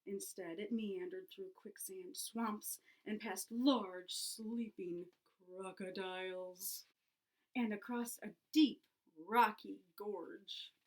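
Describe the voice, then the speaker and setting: A woman reading a picture book aloud to children in an expressive, teacherly storytelling way, engaging her young listeners. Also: medium pitch, rough recording. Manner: animated storyteller, pauses to ask young listeners questions.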